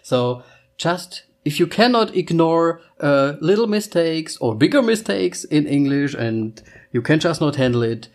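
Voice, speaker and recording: A man's voice: fairly high pitch, animated, measured pace. A man in his thirties with a German accent, co-hosting a casual couple podcast in English and now and then searching for words. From Austria, raised in Germany. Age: 30s. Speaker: podcast co-host.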